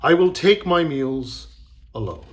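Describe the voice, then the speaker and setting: A man voicing a weary, reserved retired high-wire walker in a storybook read-aloud. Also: big pitch swings, measured pace, a little hiss or hum.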